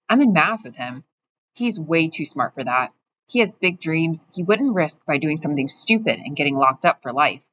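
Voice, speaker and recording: A woman's voice: low-pitched, a bit bright. A woman voicing a resentful, judgmental teenage schoolboy in an audiobook reading, talking bluntly and coldly. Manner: blunt, cold, matter-of-fact. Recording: dull, muffled audio with faint hiss.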